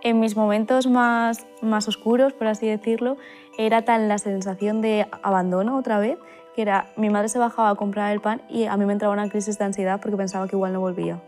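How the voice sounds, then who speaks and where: A woman's voice: mid-range voice, level tone, fairly quick, darkish tone, soft background music. A woman adopted from China speaking earnestly and openly in a documentary interview. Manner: earnest, open about hard feelings.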